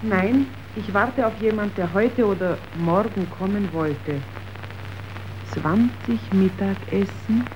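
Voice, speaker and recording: An actress playing a hungry, stubborn poor worker's wife in a radio drama, with drawn-out, deliberate phrasing. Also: female voice, mid-range voice, darkish tone, natural voice. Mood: longing, insistent. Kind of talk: scripted radio drama acting. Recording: dull, faintly hissy old radio recording.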